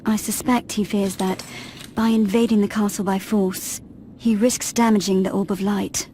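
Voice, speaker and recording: A woman's voice: mid-range voice, steady tone, moderate pace, warm tone, natural voice. Game role: woman as courteous, composed counsellor, serious, slight background noise. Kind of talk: dramatic video game cutscene acting.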